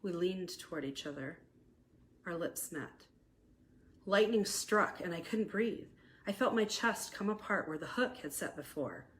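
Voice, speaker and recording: An American woman with a fairly low voice narrates a scripted romantic memoir. She reads expressively and deliberately, like an author presenting her own story. There is some background noise on the recording. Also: lively intonation. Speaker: author reading own novella aloud.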